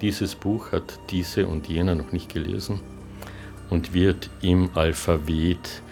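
A man's voice: deep voice, steady tone, slow pace, creaky. A man explaining his own project as if speaking in an interview. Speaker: artist and interviewer who runs a library. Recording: music under the voice. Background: music.

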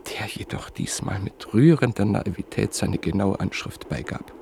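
A man narrating a literary radio drama in a measured storytelling manner. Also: medium pitch, vocal fry, warm tone, slight background noise. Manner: measured storytelling, reporting speech indirectly.

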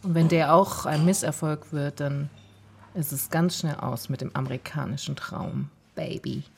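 A film actress who is tired of it all, in a radio drama. Her voice sits low and she complains with sardonic, teasing weariness. A faint noise lies behind her. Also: normal speed, gravelly. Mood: weary, sardonic. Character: weary, teasing film actress fed up with work.

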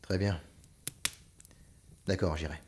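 A man plays a guarded young apprentice adventurer in an audio drama. His voice is fairly low and his delivery laconic, over faint background noise. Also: fairly even intonation, slow. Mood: resigned.

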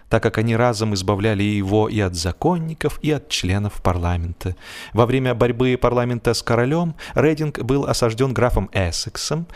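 A man reading a humorous story as scripted audiobook narration, expressively, drawing out the ends of his phrases. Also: medium pitch.

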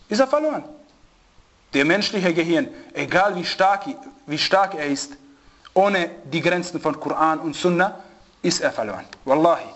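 A man preaching to a small group in an informal sermon, telling an anecdote and mixing in Arabic phrases. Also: sits high. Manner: storytelling, lively, mixes in Arabic phrases. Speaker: preacher giving a religious lesson. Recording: dull lo-fi recording.

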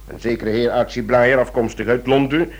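An actor playing a self-assured British investigator in a radio drama, recounting a case with eager, deliberate relish. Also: male voice, medium pitch, fairly even intonation, natural voice. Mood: eager, teasing.

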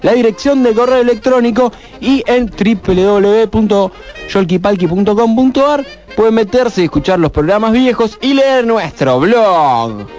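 A man's voice: high voice, expressive, moderate pace, darkish tone, soft background music. An Argentine man hosting a radio show, teasing his co-hosts and reading out contact details. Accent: Argentine Spanish. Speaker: radio host. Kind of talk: joking radio banter.

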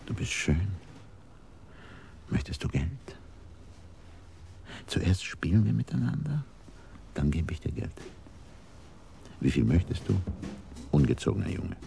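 A lecherous, wheedling wealthy man in a radio crime play. He speaks very slowly in a dark, creaky voice that at times nears a whisper, over faint noise. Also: low-pitched, big pitch swings, full character voice. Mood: wheedling, seductive.

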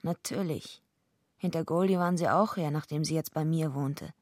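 Husky, low-voiced tough woman. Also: moderate pace, darkish tone, no echo, studio quality. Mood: dry, brooding. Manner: blunt, terse, laconic first-person telling. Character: gruff, streetwise young female fighter, defensive and blunt. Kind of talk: radio drama acting with narration.

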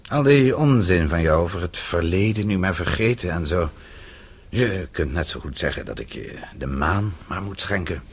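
An actor playing a weary but defiant captive businessman in a Dutch radio drama, sardonic and blunt, halting at times. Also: deep voice, lively intonation, slightly rough, exaggerated voice. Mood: defiant, scornful.

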